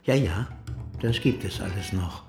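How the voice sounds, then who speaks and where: An old aristocrat in a radio play, eccentric and solemn. He muses cryptically at a very slow pace in a fairly low voice, with accordion music clearly behind him. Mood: musing, solemn. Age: older. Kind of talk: radio drama acting.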